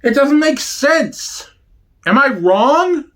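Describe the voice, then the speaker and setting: Man doing a shrill, defensive neurotic comedian. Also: animated, slow, fairly bright tone, heavily acted. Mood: defensive, incredulous. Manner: shrill protesting, rhetorical questions. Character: neurotic young male comedian, whiny, defensive, incredulous. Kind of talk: one-man dramatic sitcom reading.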